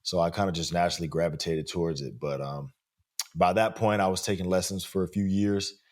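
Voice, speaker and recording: A man's voice: sits low, fairly even intonation, a little fast. A young man in his twenties, a guest musician, answering in an easygoing, modest way. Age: 20s. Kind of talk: casual interview talk.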